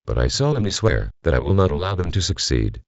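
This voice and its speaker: A man's voice: extremely low pitch, sing-song, fast talker, darkish tone, no room sound, exaggerated voice. A man playing a solemn vigilante who addresses the nation in a grave, formal broadcast speech. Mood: solemn, determined. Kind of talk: scripted emergency broadcast speech. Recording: dull, lo-fi broadcast sound.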